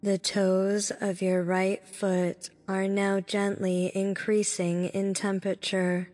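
A woman narrating a guided meditation in a soothing, deliberate manner, speaking in short instructive phrases. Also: mid-range voice, completely flat delivery, a little mellow. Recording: long reverb with background music. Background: music.

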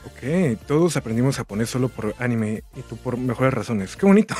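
A man's voice: mid-range voice, animated, a little fast, a little mellow, slightly rough. A man in a mid-range voice guides the chat, putting questions to each guest in an upbeat, encouraging way. Faint music plays behind him. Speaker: co-host. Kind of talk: casual group chat.

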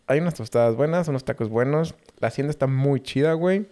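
A young man with a Mexican accent, co-hosting a podcast in relaxed, slangy, profane banter. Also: medium pitch, quick pace. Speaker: podcast co-host. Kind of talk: casual chat.

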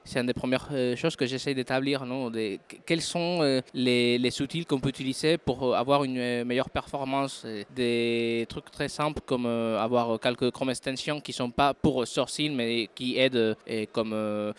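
A man's voice: mid-range voice, fairly even intonation, moderate pace, hardly any pauses, smooth. A man from Spain with a Spanish accent, a non-native speaker giving a casual interview, hesitant and full of fillers while he searches for words. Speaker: interview guest, recruiter.

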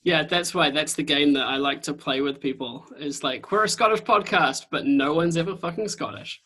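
A man with a New Zealand accent hosting a podcast interview over a video call, chatty and excitable, swearing casually. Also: fairly high pitch, moderate pace. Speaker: podcast host.

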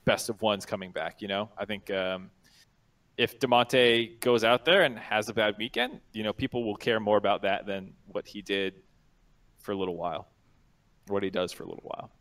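Slangy, slightly low-voiced male esports panelist. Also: fairly even intonation, moderate pace, a bit bright. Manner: loose, slangy, casually profane. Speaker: panelist on an esports talk show. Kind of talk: casual analytical chat.

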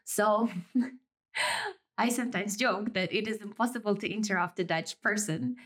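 A woman's voice: mid-range voice, moderate pace, slightly rough. A woman whose mother tongue is Russian, speaking thoughtfully and explaining ideas in a reflective solo podcast monologue, often rephrasing as she goes. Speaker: podcast host.

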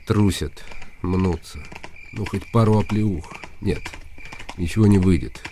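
A man's voice: sits low, a little slow, warm tone, slight background noise. An actor playing a brooding, scornful nobleman in a radio play, bitterly ironic and weary. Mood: contemptuous. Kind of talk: radio play inner monologue.

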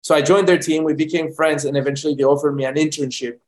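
Brief: young Colombian-born director, fairly high male voice, loose and rambling with restarts. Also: fairly even intonation, quick pace, darkish tone. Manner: rambling with many restarts and fillers. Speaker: film director guest. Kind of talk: casual interview answers.

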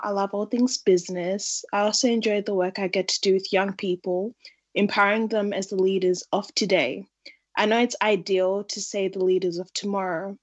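A woman born in Zimbabwe and raised in Australia, answering interview questions in an earnest, measured way. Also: medium pitch, highs cut off. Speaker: interview guest, entrepreneur.

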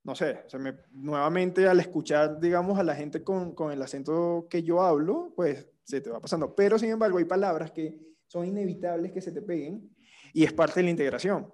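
Mellow-voiced Venezuelan man chatting. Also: a little high, moderate pace. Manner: relaxed storytelling with slang and restarts. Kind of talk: casual chat.

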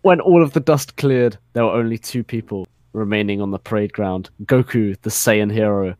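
A man's voice: fairly low pitch, moderate pace, a little mellow, no echo. A young adult man giving a dramatic comic narration, prone to giggling as he reads. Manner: giggly, often cracks up while reading. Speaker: narrator of a comedy fan fiction reading. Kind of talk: dramatic narration breaking into amused banter.

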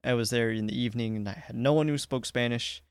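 A young man chatting casually, relaxed and reassuring, who laughs easily. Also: mid-range voice, fast talker, clear, resonant tone, dry recording. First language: English. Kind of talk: casual chat.

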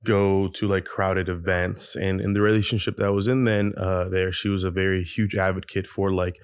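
A man's voice: low-pitched, little pitch movement, normal speed, clean tone, dry recording, clean studio sound. A man in his twenties from Peru, a podcast guest talking openly about his life at home, reflective and full of ums and restarts. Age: 20s. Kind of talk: casual interview storytelling.

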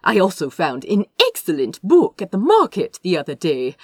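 A woman's voice: on the low side, wildly swooping pitch, moderate pace. A woman acts out a fussy young prince from a storybook romance. He is flustered and cheerfully evasive, chattering politely with wildly swinging intonation in a dry, echo-free space. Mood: flustered, chirpy. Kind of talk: fan fiction audiobook dialogue.

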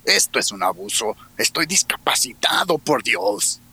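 A man's voice: lowish voice, sing-song, unhurried, heavy background noise. An actor playing an indignant, suspicious man in a wheelchair in an audio drama.

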